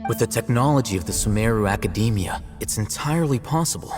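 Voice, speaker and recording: An actor playing a cool, detached scholar in a video game, speaking in a measured, formal and deliberate way. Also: male voice, lowish voice, lively intonation, long reverb tail, over music, natural voice. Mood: detached, grave. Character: cool, analytical, detached male scholar and scribe. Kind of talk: scripted dramatic cutscene dialogue.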